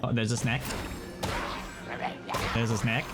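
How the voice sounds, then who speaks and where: A man's voice: medium pitch, flat delivery, slow pace. A man hosting a gaming video with chatty, self-joking, casual commentary. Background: game music.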